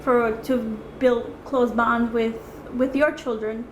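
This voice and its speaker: A woman's voice: on the high side, steady tone, slow pace, background noise. A woman from El Salvador, a cooking show contestant, talking earnestly and emotionally in an interview. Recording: music bed underneath.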